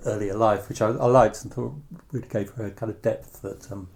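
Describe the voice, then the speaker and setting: A man's voice: fairly low pitch, steady tone, a little fast, a little mellow. A man interviewing in a conversational way, phrasing his questions and comments tentatively and hesitantly.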